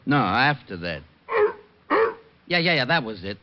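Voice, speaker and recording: An actor playing an excitable, blustery, easily scared police sergeant in comic old-time radio drama. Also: male voice, mid-range voice, a little slow. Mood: suspicious. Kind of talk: 1940s-50s comic radio acting. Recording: muffled, noisy old radio recording.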